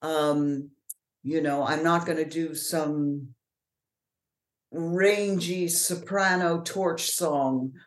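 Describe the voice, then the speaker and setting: A woman with a deeper voice, an author and actor talking frankly and with energy as an interview guest. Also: extremely slow, echoey room. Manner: frank, lively storyteller. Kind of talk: casual interview conversation.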